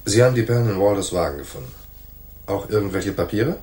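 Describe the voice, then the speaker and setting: An actor playing a wry, laconic private detective in a noir radio drama, speaking in a dry, understated way. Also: male voice, on the low side, lively intonation, fast, a little mellow, breathy edge. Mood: sardonic, cool. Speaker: narrator and lead actor. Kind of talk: noir radio drama, first-person narration and dialogue.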